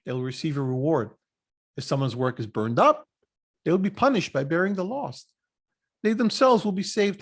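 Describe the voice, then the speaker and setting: A man reading scripture aloud expressively, with an emphatic, deliberate teaching delivery. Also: sits high, husky. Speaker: scripture reader.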